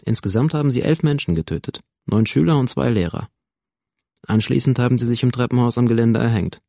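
A man's voice: medium pitch, brisk, creaky, warm tone, no echo, lightly acted. A coolly clinical official researcher , acted by a man, explains things in a radio drama. The delivery is formal and bureaucratic, matter-of-fact throughout, in a dry studio sound. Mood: coolly factual.

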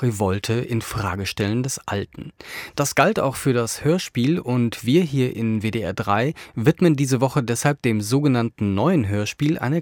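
A man presenting on radio, reading a scripted introduction in measured, carefully phrased delivery at a close microphone. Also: mid-range voice, no echo. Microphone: close studio microphone.

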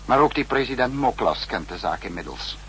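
A man's voice: mid-range voice, animated, a little fast, fairly bright tone, natural voice. A man acting a stern, analytical state leader in a 1960s radio drama, speaking in a formal, lecturing manner. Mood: grim, reproachful. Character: stern, analytical spy-state leader reproaching his subordinate. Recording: noisy, humming old radio recording.

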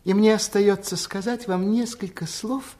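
An actor playing a wise, solemn doctor-magician in a fairy-tale audio play, courteous and formal. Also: male voice, high voice, fairly even intonation, unhurried, a little mellow. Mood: grave, measured.

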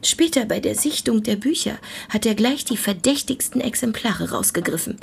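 Tart church deaconess in a radio drama, gravelly fry, wry and pointed, some noise behind her. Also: fairly high pitch, animated, moderate pace. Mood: evasive, earnest. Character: female parish deaconess, wry, tart, evasive witness. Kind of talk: radio play acting.

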